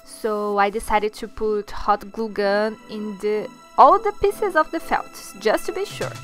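A young woman from Brazil with a Brazilian Portuguese accent, talking through a sewing tutorial in her second language with chatty, slightly halting phrasing. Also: medium pitch, a bit bright, soft background music. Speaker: sewing channel host. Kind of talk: friendly sewing tutorial talk and voiceover.

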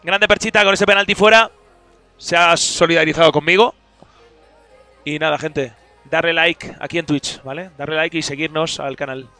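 A man's voice: fairly high pitch, fairly even intonation, normal speed, bright, forceful tone, soft background music. A young man chatting casually to his stream audience into a headset mic in a studio booth, teasing and chatty.

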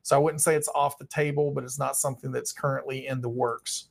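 A man's voice: medium pitch, flat delivery, fairly quick, creaky. A man in his thirties hosting a live viewer question stream, his voice partly worn out, reading questions and answering in a rambling, offhand way. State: partly lost voice. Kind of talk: casual live Q&A. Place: small dim room indoors.

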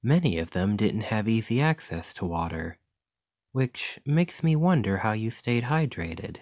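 A man playing an earnest, chatty scholar in an ASMR roleplay, speaking gently and hesitantly as he rambles and interrupts himself. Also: fairly low pitch, big pitch swings, measured pace, dry recording. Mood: gently concerned. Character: earnest, rambling male scholar gently reassuring a captive creature.

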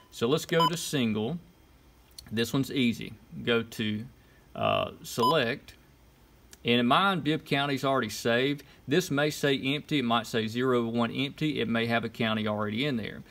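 A man giving an informal how-to demonstration, talking through each step in short, casual instructions. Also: mid-range voice. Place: indoors at a desk. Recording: clear background noise, clicks and beeps. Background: button clicks and electronic beeps.

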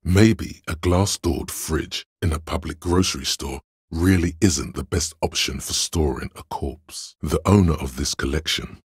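An actor playing a calm, analytical inner voice of logic in a video game, gravelly and husky, speaking in a dry, measured, knowing way. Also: male voice, a bass voice, no room sound, exaggerated voice. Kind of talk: video game voice-over narration.

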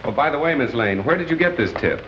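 An actor playing a wry, measured male radio crime newscaster in an old-time drama, with dry wit. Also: medium pitch, animated, natural voice. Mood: exasperated, sarcastic. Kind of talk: old-time crime drama acting with broadcast announcer delivery. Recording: dull, noisy old film-era soundtrack.

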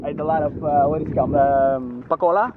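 A man's voice: fairly high pitch, fast talker. A man from Morocco in his thirties, chatting in a friendly, hesitant way and searching for words outdoors. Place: outdoors on a hillside lookout. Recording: dull sound with loud wind noise.

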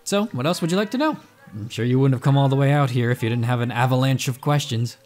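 An actor playing a cocky, smooth-talking inventor who teases and boasts in an audio drama. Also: male voice, medium pitch, lively intonation, fast, a little mellow, soft background music, natural voice. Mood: boastful. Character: cocky, glib inventor and self-styled man of science.

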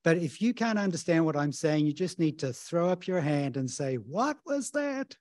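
A man's voice: on the high side, sing-song, normal speed, darkish tone, no room sound, clean studio sound. A man from New Zealand with a New Zealand accent, giving a casual, chatty conference presentation as a professor, often tagging "right?". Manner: casual, chatty, tag questions.

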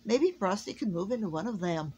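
A woman voicing a gracious, girlish fairy queen in a storybook, polite and excitable. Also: medium pitch, brisk, slight background noise, close to an everyday voice, rough recording.

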